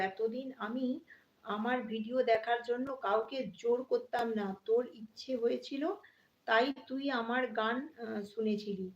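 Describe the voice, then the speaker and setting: A woman chats casually as a live stream host, addressing viewers directly and often repeating her phrases. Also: a little high. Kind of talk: casual live chat, greeting viewers by name. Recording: dull lo-fi, roomy echo, faint noise.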